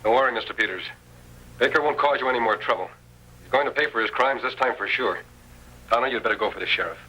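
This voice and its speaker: A man's voice: mid-range voice, fast talker, sharp tone, lightly acted. An actor playing a resolute, courteous American masked lawman with an authoritative manner in a radio Western. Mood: commanding. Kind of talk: old-time radio Western acting. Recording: noisy vintage radio recording, tinny.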